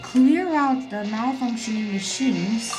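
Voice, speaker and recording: Slow-paced, high-voiced young woman gaming. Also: poor audio. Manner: simple, exclamatory reactions. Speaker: game streamer. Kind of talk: casual gameplay commentary. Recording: loud game music behind the voice.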